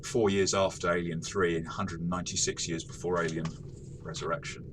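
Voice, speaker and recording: A man co-hosting a casual game review discussion, thoughtful and halting, often rephrasing mid-sentence. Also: deep voice, little pitch movement, a little hiss or hum, poor audio. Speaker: co-host of a game review show.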